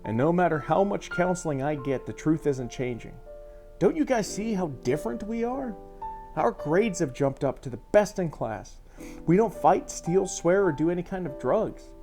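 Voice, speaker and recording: An earnest, troubled storybook teenage boy, acted by a grown male narrator, pleads to be believed in a lifted pitch. Soft music plays under the voice. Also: expressive, moderate pace. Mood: earnest, pleading.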